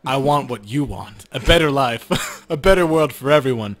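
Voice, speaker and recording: A man's voice: mid-range voice, animated, normal speed, a bit bright, lightly acted. A man voicing an earnest, zealous man who pleads urgently, reading video game dialogue aloud. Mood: earnest pleading.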